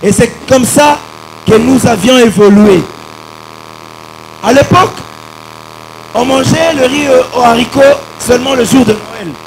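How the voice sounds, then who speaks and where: A man's voice: very high-pitched, animated, a little slow, creaky, pauses often, background noise. A man telling a nostalgic story as a radio show contestant. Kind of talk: anecdotal answer on a radio show.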